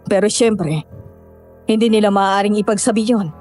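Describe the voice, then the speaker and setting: A woman's voice: medium pitch, normal speed, darkish tone, faint music behind, close to an everyday voice. A woman playing a knowing, solemn villager who explains and cautions in a horror audio drama. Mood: solemn, explaining.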